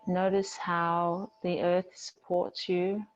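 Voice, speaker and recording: A woman yoga teacher giving gentle, unhurried guided relaxation and movement instructions in a soothing manner. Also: on the low side, level tone, muffled recording, slight background noise.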